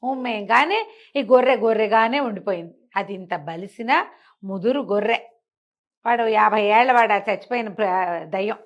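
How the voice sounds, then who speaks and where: A woman's voice: mid-range voice, normal speed, lo-fi sound. A woman telling folk tales conversationally, explaining as she goes and posing rhetorical questions. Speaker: storyteller.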